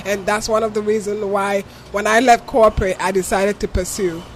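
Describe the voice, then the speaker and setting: A woman's voice: medium pitch, level tone, normal speed, slight background noise. A woman from Ghana with a Ghanaian accent, a guest on a talk show who explains insurance patiently and earnestly with examples. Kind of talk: interview answers.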